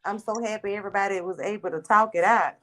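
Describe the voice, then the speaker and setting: A woman's voice: mid-range voice, fast, sharp tone. An American woman chatting casually and bluntly in a group, swearing freely and sometimes stuttering. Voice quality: occasional stutter. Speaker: participant in a group live stream. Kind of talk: casual chat.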